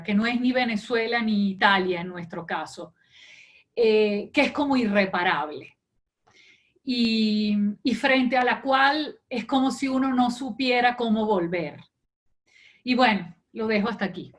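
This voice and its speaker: Halting woman with a slightly high voice. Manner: halting, with many fillers. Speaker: writer, panelist. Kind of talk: reflective discussion.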